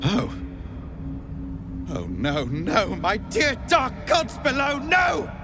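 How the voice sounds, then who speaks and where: A man's voice: high voice, wildly swooping pitch, extremely slow, music in the background, heavily acted. A man playing a pompous, scheming drow wizard in a video game, theatrical and haughty but given to obsequiousness. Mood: anguished. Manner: theatrical, haughty, stammering when deferential.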